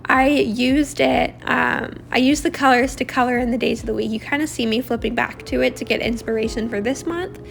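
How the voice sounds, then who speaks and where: A young woman with a high voice that turns gravelly and raspy, rambling casually through a journal walk-through with many ums. Clear music plays behind her. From Australia. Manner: rambling, chatty, many fillers. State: raspy, harsh throat. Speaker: bullet journal vlogger. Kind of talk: casual voice-over while drawing.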